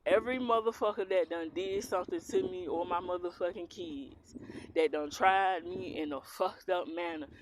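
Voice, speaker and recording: A woman's voice: lowish voice, moderate pace. A young woman from Philadelphia, raised in the US South, with a strong Southern accent. She reads tarot and rants bluntly to camera with plenty of swearing. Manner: blunt, heavy cursing, defiant. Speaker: tarot reader. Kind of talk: casual to-camera rant. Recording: clear background noise.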